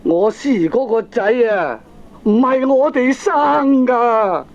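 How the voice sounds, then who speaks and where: A man's voice: extremely high pitch, lively intonation, slow, exaggerated voice. An actor playing a foolish, money-grubbing middle-aged father and gambler in a Cantonese comedy radio drama, with a Hong Kong accent and exclamatory, wheedling, argumentative speech. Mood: exasperated, teasing. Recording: dull lo-fi old cassette tape.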